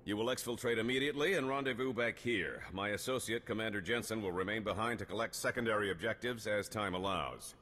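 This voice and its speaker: An actor playing a stern, driven military colonel in a video game, speaking in a clipped, formal, commanding way. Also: male voice, lowish voice, fast talker, few pauses, sharp tone. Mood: brisk, stern. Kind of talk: video game cutscene acting. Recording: long hall reverb.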